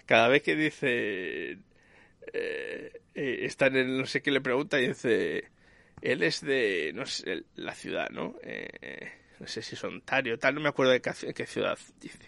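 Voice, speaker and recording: Guy chatting through a series review, rambly, bit of gravel in the voice, dry close sound. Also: medium pitch, very animated, unhurried, a bit bright, no room sound. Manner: rambling, searching for details. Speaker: series reviewer and podcast host. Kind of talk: casual conversational review.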